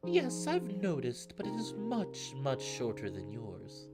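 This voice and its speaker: A man's voice: fairly high pitch, wildly swooping pitch, slow, loud music behind the voice, voice pushed up, full character voice. A man voicing a refined, haughty furred alien male in a game, with a formal, drawling delivery. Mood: dry, reserved.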